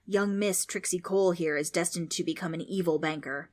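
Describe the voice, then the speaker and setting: A woman reading aloud, voicing a loud, scornful male ghost who mocks children. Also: on the low side, fairly even intonation, a little fast, natural voice. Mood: mocking. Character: bossy male ghost, gruff and mocking.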